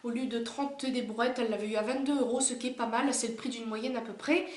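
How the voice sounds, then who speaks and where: A woman's voice: a little high, fairly even intonation, a little fast, reverberant. Chatty young woman with a cold. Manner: chatty, gushing, many fillers and restarts. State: has a cold. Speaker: vlogger. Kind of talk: casual chat showing a collection. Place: indoor room by a window.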